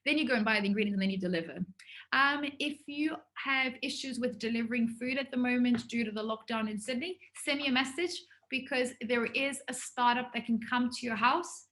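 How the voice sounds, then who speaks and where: High-voiced woman teaching a business workshop. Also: fairly bright tone. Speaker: entrepreneur giving a workshop. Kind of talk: informal business workshop talk to students.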